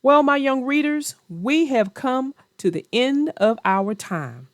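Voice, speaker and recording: Animated woman storyteller, dry close sound. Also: sits high, slow, dry recording. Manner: theatrical, addresses young readers directly. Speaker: children's story narrator and host. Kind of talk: expressive read-aloud and chat for young children.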